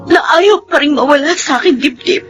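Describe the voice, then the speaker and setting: A woman's voice: sits high, a little fast, highs cut off, over music, close to an everyday voice. A woman playing a bitter, grieving mother in an emotional, tearful radio drama performance. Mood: anguished grief. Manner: emotional, impassioned, sobbing delivery.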